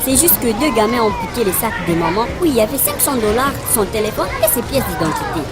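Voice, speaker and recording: A woman's voice: on the high side, sing-song, fast talker, over loud music, over a noisy crowd. A woman playing a goofy, boastful boy inventor in a cartoon. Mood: upset. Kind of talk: animated cartoon dialogue.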